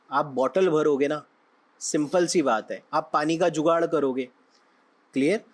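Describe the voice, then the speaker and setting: A young man, slightly hoarse, teaching a trading lesson in a conversational way, often checking in with his listeners. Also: fairly high pitch, rough recording. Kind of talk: explaining lesson with analogies.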